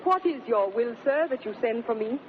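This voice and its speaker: A woman plays a spirited Shakespearean wife on 1930s radio. Her high, lilting voice varies widely, through a very tinny recording with loud noise. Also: lively intonation, moderate pace, close to an everyday voice. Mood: dutiful.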